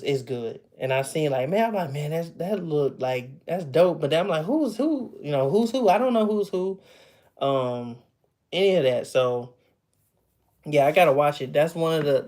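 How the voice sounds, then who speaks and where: A man's voice: a little high, animated, moderate pace, darkish tone. A man in his thirties with a slightly raised voice, chatting with his stream audience from home. He talks loosely, laughs easily and often restarts his sentences. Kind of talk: casual livestream chat. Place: at home with memorabilia behind him.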